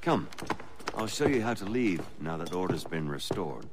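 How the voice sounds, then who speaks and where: Low-voiced, wry colonial conspirator. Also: male voice, sing-song, moderate pace, heavy background noise. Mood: earnest, grateful. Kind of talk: video game voice acting.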